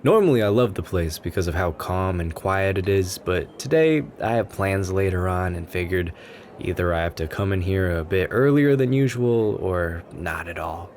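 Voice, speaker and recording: A man plays a bashful, kind-hearted writer making nervous small talk in an ASMR roleplay. His voice is low and soft, with an earnest, rambling delivery. Noticeable coffee shop ambience sits behind him. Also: animated, moderate pace, a little hiss or hum. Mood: chatty, warm. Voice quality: gentle, soft-spoken. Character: shy, sweet, nervous writer chatting up a café stranger.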